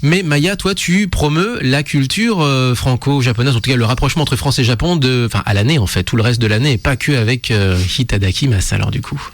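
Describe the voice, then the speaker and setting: A man's voice: medium pitch, a little fast, few pauses, no room sound. A man hosting a radio show in casual chat, rambling and correcting himself with many fillers. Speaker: radio host.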